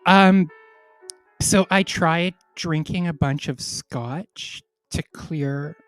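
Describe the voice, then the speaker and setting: A woman's voice: sits low, very slow, clean tone, soft background music. A woman hosting an online comedy show while congested from a cold and a little tipsy, bubbly and giggly with her guests, over a video call. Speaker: host.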